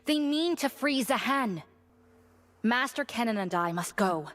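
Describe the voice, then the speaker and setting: An actress playing an earnest, dutiful guardian warrior in a fantasy game, speaking formally and solemnly. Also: female voice, a little high, expressive, unhurried, fairly bright tone. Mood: grave, resolute.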